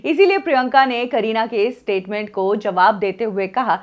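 Woman, 30s, celebrity news presenter, lively storyteller, studio, lo-fi audio. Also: fairly high pitch, moderate pace. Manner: lively storytelling delivery, mixing in English phrases. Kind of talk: scripted celebrity gossip presentation.

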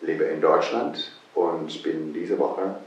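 Deep-voiced Italian man in an echoey room. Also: steady tone, slow, muffled recording, noisy background. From Italy. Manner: thoughtful, hesitant, many fillers. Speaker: guest conductor. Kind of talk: interview answers. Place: wood-panelled room by a window. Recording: roomy, distant echo.

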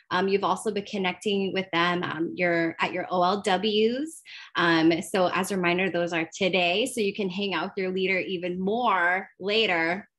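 A woman's voice: mid-range voice, fairly bright tone. A woman moderating a student panel over a video call, friendly with many fillers.

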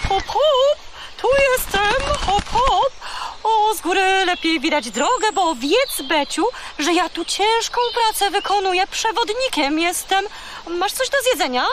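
A woman's voice: very high-pitched, lively intonation, unhurried, very echoey, big-room sound, background noise. A woman playing a bossy, chatty, boastful seagull guide in a children's audio drama. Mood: cheeky. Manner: brash, chattering, exclamatory.

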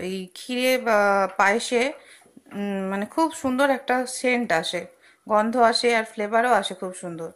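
A woman's voice: fairly high pitch, fairly bright tone. A young woman hosting a home cooking video, chatting through each step of a dessert recipe with fillers and asides. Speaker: cooking channel host. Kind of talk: casual cooking demonstration voice-over. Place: home kitchen at a gas stove. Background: frying and sizzling from the pan.

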